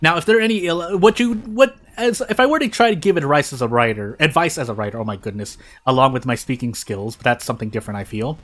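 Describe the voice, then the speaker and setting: A man's voice: mid-range voice, animated, quick pace, hardly any pauses, a bit bright. A man giving casual gameplay commentary in a rambling, self-interrupting, self-deprecating way, often losing his train of thought. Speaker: video creator and gamer.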